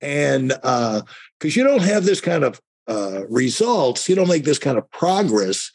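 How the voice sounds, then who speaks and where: Husky male podcast host, higher-pitched. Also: very animated, darkish tone. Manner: halting, rambling, keeps rephrasing. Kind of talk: conversational podcast interview.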